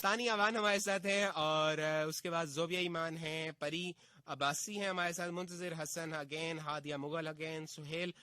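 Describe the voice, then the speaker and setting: Radio dj guy, bit husky, chatty, faint music under him. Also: on the high side, steady tone. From Pakistan. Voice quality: sounds hoarse on air though he says his throat is fine. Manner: chatty, warm greetings, drawn-out filler pauses. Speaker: radio show host. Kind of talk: casual radio chat reading listener messages.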